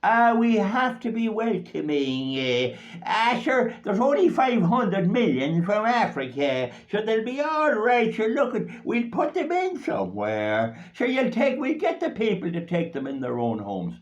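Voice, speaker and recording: A man's voice: high voice, wildly swooping pitch, measured pace, voice pushed up, full character voice. An Irish man mimicking a meek Irish politician. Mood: mock placating. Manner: placating, dismissive. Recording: dull lo-fi recording.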